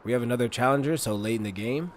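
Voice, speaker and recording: A man's voice: mid-range voice, fast, a little hiss or hum, lightly acted. A man playing an unruffled, wry veteran military captain.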